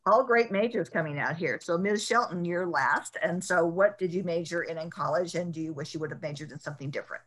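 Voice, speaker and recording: An older woman moderating a panel over a video call from home, asking questions with halting repeats. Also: lowish voice, fairly bright tone. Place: home room.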